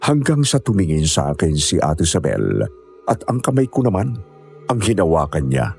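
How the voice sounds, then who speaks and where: A man narrates a scripted horror story in the first person. Soft music plays behind him. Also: low-pitched, very animated, moderate pace, creaky.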